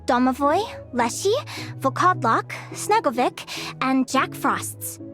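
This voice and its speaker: A bright young fae girl from a video game, pitched extremely high. Her delivery is lively and swings widely, eager and determined with an earnest curiosity. Music plays clearly behind her. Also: slow. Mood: earnest, questioning. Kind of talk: English video game voice acting.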